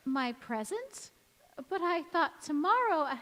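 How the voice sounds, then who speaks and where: Lady acting a sweet young bride in an old radio play, high voice, dramatic and earnest, hall echo. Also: very animated, measured pace, fairly bright tone, exaggerated voice. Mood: delighted. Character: sweet, sentimental young bride-to-be, romantic and anxious. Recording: echoey church hall stage recording.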